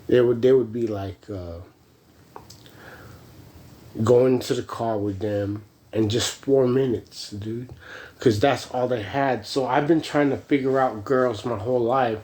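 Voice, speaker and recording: An American man in his forties rambling casually in a halting, slangy, meandering way. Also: mid-range voice, a little mellow. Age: 40s. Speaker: vlogger.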